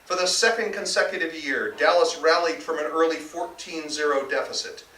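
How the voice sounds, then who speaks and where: A man's voice: on the high side, moderate pace, bright, forceful tone. An American man, an author reading his novel aloud as live narration, recorded indoors at a distance. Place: bookstore reading event, indoors. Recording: roomy, distant live recording, faint noise.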